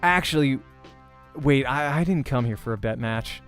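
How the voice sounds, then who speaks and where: A man's voice: mid-range voice, moderate pace, a bit bright. A man hosting a let's play, reading visual novel narration aloud expressively like a voice actor. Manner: acts out dialogue while narrating. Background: game music.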